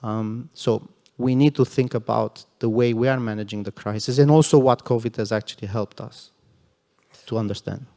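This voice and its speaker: A man's voice: medium pitch, steady tone, normal speed, dark tone. A man speaking as a security panelist at a conference, earnest and emphatic, with frequent "you know" asides. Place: conference hall panel stage. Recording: long hall reverb.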